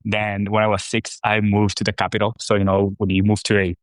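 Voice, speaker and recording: A man in his thirties from Costa Rica, a brand co-founder talking about himself in a relaxed, rambling way. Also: on the low side, a little fast, dry recording. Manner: relaxed, rambling, with fillers and restarts. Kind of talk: casual interview talk.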